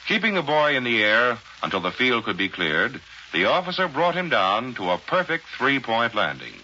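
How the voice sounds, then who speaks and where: A man's voice: mid-range voice, very animated, moderate pace, sharp tone. An American man narrating a 1940s radio advertisement as a polished, dramatic announcer. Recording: dull, faintly noisy 1940s radio recording.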